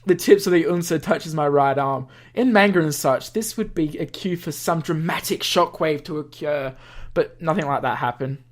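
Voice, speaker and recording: A man's voice: on the high side, normal speed. A man reading a visual novel aloud as a let's player, stumbling over lines and adding casual explanatory asides. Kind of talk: game narration with casual asides.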